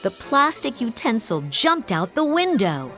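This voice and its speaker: A woman narrating a scripted children's storybook in an expressive, carefully paced way. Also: fairly high pitch, unhurried. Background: music.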